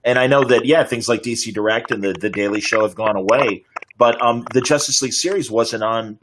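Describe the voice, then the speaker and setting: A man's voice: mid-range voice, a little fast, noisy background. A man hosting a panel interview, asking hesitant, rambling questions. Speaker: interview host and moderator. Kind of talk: fan panel interview over video call. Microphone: video call.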